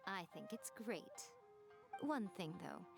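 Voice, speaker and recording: A woman's voice: medium pitch, big pitch swings, slow, creaky, music in the background, heavily acted. A woman playing a sly, self-assured fox spirit in a video game, composed and teasing. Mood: confident, scheming.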